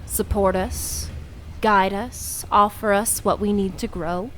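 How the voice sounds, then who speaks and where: Actress as a proud lady dean, medium pitch, formal and earnest, radio comedy, bit of noise behind. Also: steady tone, slow, close to an everyday voice. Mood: proud, solemn. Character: formal, proud woman dean of a science society. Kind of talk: comedic audio drama acting.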